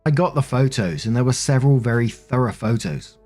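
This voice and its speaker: A man's voice: medium pitch, a little fast, dark tone, soft background music. A man narrating online stories in a plainspoken, conversational way, as a host who reads aloud and comments casually. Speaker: narrator and host of a story-reading show.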